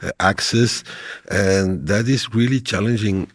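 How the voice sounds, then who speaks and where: A man on a debate panel speaks in a low, husky voice. He hesitates often, stumbling and repeating words as he looks for the right ones. The recording is dry and close. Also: a little slow, dry recording. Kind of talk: panel discussion.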